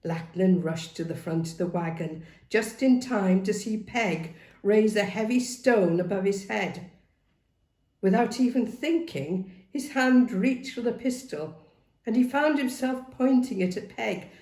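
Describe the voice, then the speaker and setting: A woman reading a novel aloud as dramatic, expressive narration. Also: medium pitch, measured pace. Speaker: story reader. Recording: roomy, echoing sound.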